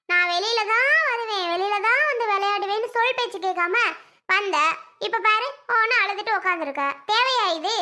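A woman's voice: very high-pitched, pushed and bright, full character voice. A woman voicing an overprotective, nagging mother in a family drama, accusing and repeating herself.

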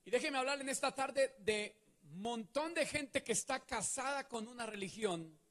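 A man's voice: very high voice, normal speed, fairly bright tone. A man preaching a sermon on a large church stage into a handheld mic. He speaks emphatically and keeps putting questions to the congregation. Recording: reverberant church hall.

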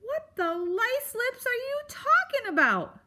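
A woman's voice: fairly high pitch, big pitch swings, a little slow, a bit bright. A woman voicing a cantankerous old male storybook porcupine, blustering and exclaiming crude, colourful insults. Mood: indignant, disgusted.

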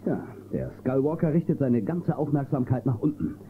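A man plays a brave marshal of a space western, confident and reassuring. His delivery is lively in pitch. It sounds like a thin, noisy old audio-drama cassette. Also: moderate pace, narrow, tinny audio, natural voice. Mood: resolute. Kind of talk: German children's audio drama acting.

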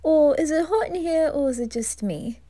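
A woman voicing a flamboyant, flirtatious male reaper in an ASMR roleplay, teasing and giggling, often slipping into breathy whispers. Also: sits high, lively intonation, moderate pace, warm tone, dry recording. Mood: flirty.

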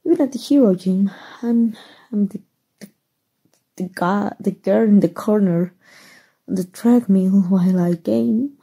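A shy, awkward gamer superheroine, played by a woman in an ASMR roleplay. Her voice is very soft and mellow, husky with breath and touched with creak. She speaks slowly and haltingly, stammering as she gets flustered. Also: mid-range voice. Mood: flustered, nervous. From Mexico.

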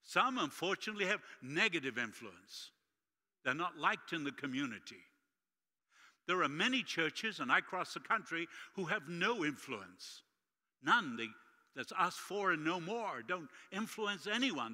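A middle-aged man from Uruguay with a Spanish accent, preaching an animated sermon with jokes as guest speaker in a large church. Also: fairly high pitch, measured pace. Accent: Latin American Spanish. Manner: animated, gesturing widely while walking the stage. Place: large church sanctuary stage. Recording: long hall reverb.

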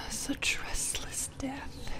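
A woman voicing a possessive sleep paralysis demon in an ASMR horror roleplay, speaking in a breathy, intimate whisper with menacing tenderness. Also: extremely slow, music in the background. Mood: fascinated, possessive. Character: sinister, possessive female sleep paralysis demon, teasing and soothing.

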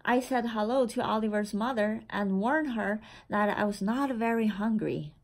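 A woman reading a children's picture book aloud in a deliberate, expressive storytelling manner. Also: medium pitch. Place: indoor room with framed certificates on the wall.

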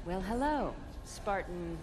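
A woman's voice: fairly low pitch, lively intonation, takes it slowly, noisy background. Dry, commanding woman space-soldier leader. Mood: dry, teasing. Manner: dry, authoritative. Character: tough, wry female military commander of space soldiers. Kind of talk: cinematic video game voice acting. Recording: loud music behind the voice.

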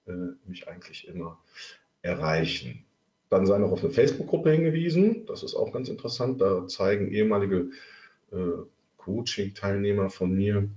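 A man with a cold, in his thirties, presenting an online trading webinar in a halting way with many filler sounds. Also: low voice, dark tone. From Germany. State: has a cold. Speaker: trading coach. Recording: roomy, muffled webinar audio.

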